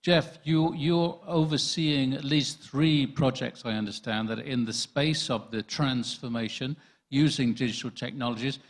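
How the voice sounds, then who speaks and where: A man's voice: medium pitch, moderate pace. A man moderating a panel, thanking one speaker and politely handing over to the next with some hesitant repeats. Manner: courteous, hesitant with repeated words.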